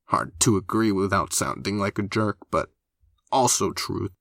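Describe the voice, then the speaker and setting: A man voicing a blunt, sardonic young male character in an audiobook, with a dry, deadpan delivery. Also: sits low, measured pace, gravelly, dry recording, full character voice.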